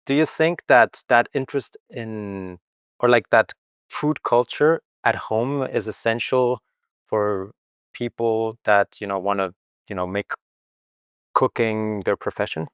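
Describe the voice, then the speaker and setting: A man's voice: lowish voice, unhurried, fairly bright tone, smooth, no room sound, studio quality. A man from Venezuela hosting a podcast, asking loose rambling questions full of "like" and "you know". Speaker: podcast host. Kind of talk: casual interview questions.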